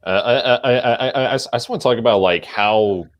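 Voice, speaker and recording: A man's voice: medium pitch, brisk, no echo, muffled recording. A man on a casual podcast who stammers and repeats words as he starts each point. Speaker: podcast co-host.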